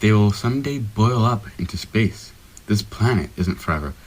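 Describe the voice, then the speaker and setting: Doom-laden existential pitchman male actor, fairly low, ominous and intense, parody radio commercial. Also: expressive, moderate pace, low-quality recording. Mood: ominous, anguished. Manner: ominous, portentous, building to intensity. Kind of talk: parody radio advertisement monologue.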